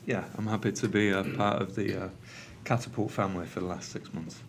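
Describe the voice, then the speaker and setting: Middle-aged Mancunian man, lowish voice, relaxed presenter with lots of ums, faint room ambience. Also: brisk, a little hiss or hum. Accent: Manchester. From England. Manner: conversational, with frequent ums and uhs. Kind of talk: conference presentation. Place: meeting room with an audience.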